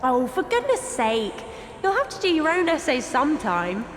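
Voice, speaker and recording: An actress playing a bossy, bookish teenage schoolgirl in a video game, prim and brisk with an English accent. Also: sits high, wildly swooping pitch, a little hiss or hum. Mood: exasperated. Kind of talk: video game cutscene acting. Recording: slight hall reverb, soft game music.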